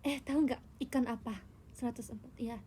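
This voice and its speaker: A woman's voice: fairly high pitch, fairly even intonation, fairly quick, mellow, a little hiss or hum. Playful young woman chatting on a livestream. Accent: Indonesian. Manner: playful, teasing, tells tall tales. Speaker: idol livestreamer. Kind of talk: casual livestream chat to viewers.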